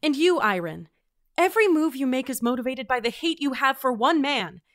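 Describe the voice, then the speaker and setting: A woman playing an earnest, quick-witted traveler on a mission in a fantasy audio drama. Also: high voice, expressive, a bit bright. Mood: rousing. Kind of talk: fantasy audio drama acting with log narration.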